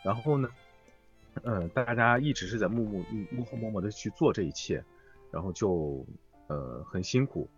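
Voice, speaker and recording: A man chatting as a voice actor guest in a live interview, phrasing things haltingly. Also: fairly low pitch, expressive, muffled recording, soft background music. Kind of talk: casual live interview chat.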